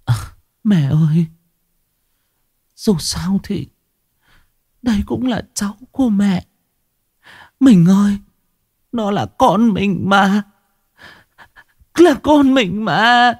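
A man's voice: high-pitched, animated, takes it slowly, pauses often, warm tone, slightly rough, dry recording, studio quality, pitched above the performer's own voice, heavily acted. A man voicing a weak young mother who begs and whimpers through tears. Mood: pleading. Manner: whimpering, begging.

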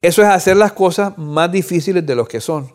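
A man's voice: high-pitched, fairly quick. A man in his fifties from Cuba, giving an earnest Bible study commentary and often repeating words as he gathers his thoughts. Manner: earnest, repeats words while thinking, rising on emphasis. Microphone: audio recording.

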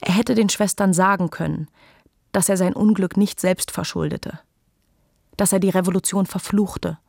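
Lady narrating a literary radio play, lowish gravelly voice, dry studio. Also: fairly even intonation, normal speed, darkish tone, no room sound.

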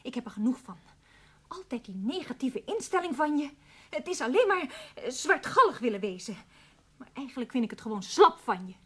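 An actress playing an exasperated, sharp-tongued middle-aged wife in a radio play, with an emphatic, scolding delivery. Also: female voice, sits high, very animated, moderate pace, slightly rough, full character voice. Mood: angry, hurt. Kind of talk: Dutch radio drama acting.

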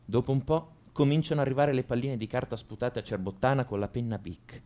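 Un attore che interpreta un liceale tormentato e amareggiato, in un monologo confessionale di radiodramma, con enfasi riflessiva. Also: male voice, mid-range voice, a little fast, mellow, natural voice. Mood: tense recounting. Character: brooding male high school student confessing to violence. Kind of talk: radio drama monologue, first-person narration.

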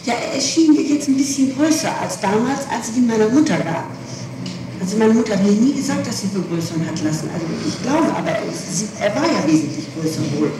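A woman answering questions in a police interrogation, haltingly and with repeated words as she explains. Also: fairly high pitch, animated. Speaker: person being questioned by police. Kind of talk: interrogation testimony. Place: reverberant room, taped police interrogation. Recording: echoey, noisy old tape, distant mic.

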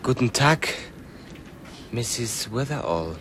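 Slow, expressive troubled gentleman. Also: medium pitch, noisy background. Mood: tense, polite. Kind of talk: radio play acting, 1970s.

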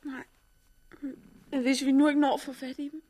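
A woman's voice: high voice, little pitch movement, darkish tone, lightly acted. An actress playing a vulnerable, questioning woman who has survived a catastrophe, in an earnest, emotional 1960s Danish radio drama style. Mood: anxious, urgent.

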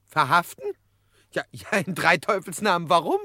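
A man's voice: high-pitched, moderate pace, sharp tone, no room sound, clean studio sound, heavily acted. An actor playing an indignant gangster blustering at his arrest in a comic radio crime drama. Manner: blustering, exclamatory.

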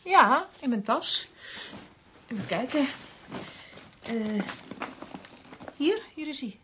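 An actress playing an earnest, polite researcher in a Dutch radio drama, explaining things a little hesitantly. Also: female voice, high voice, very animated, slow pace, pushed and bright, a little hiss or hum, heavily acted. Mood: hesitant, subdued. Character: earnest female seal researcher, worried about her father.

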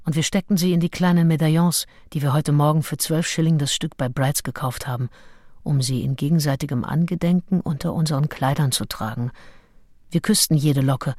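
A woman's voice: sits low, steady tone, fairly quick, darkish tone, dry recording, studio quality. An actress playing a self-assured, seductive nineteenth-century woman diarist, frank and intimate, in a radio play. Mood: matter-of-fact. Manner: frank, matter-of-fact, intimate. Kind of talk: radio play, first-person diary reading.

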